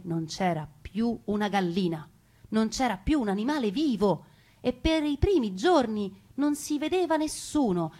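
A woman narrating a scripted memoir as a stage storyteller, expressive and with dramatic pauses. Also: lowish voice, breathy edge. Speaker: theatre actress and storyteller. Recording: music under the voice. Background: music.

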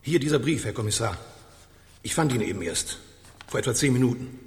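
An actor playing a polite, formal, concerned French lawyer in a German radio crime drama, speaking in a measured, grave way. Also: male voice, mid-range voice, expressive, close to an everyday voice. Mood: explaining. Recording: long echoing hall reverb.

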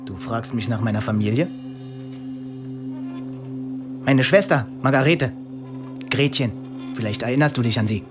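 An actor playing a suspicious, bitter young Nazi intelligence officer in a radio drama, interrogating with biting sarcasm. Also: male voice, medium pitch, fairly quick, natural voice. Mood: bitter grief. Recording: studio radio play with faint music.

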